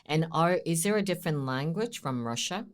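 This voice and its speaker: A woman hosting a podcast interview, brisk and playful as she prompts her guest with questions. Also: deep voice, expressive. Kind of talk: casual interview chat.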